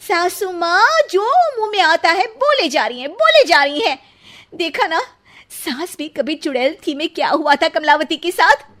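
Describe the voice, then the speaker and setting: Feisty cartoon daughter-in-law played by a woman, extremely high voice, sarcastic, theatrical, always answering back. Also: animated, normal speed, a bit bright. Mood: sly, needling. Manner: theatrical, argumentative back-talk. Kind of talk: exaggerated Hindi cartoon family drama.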